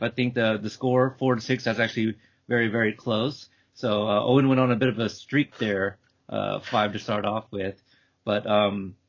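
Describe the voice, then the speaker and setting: A man hosting a podcast, talking casually and off the cuff in a halting, rambling way. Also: mid-range voice. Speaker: podcast host. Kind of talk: casual, unscripted show wrap-up. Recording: dull, lo-fi call audio.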